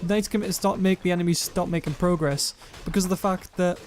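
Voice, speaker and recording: A young man with a self-described monotone voice, rambling through gameplay commentary and viewer comments, often correcting himself mid-sentence. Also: high-pitched, fairly quick, slight background noise. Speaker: gaming YouTuber.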